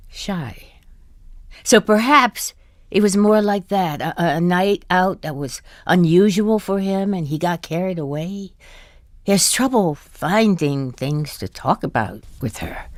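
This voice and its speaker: A patient, polite wife in middle age with a low voice. She confides with gentle hesitation and a wistful candour. The audio drama recording is intimate and free of room sound. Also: no echo, clean studio sound.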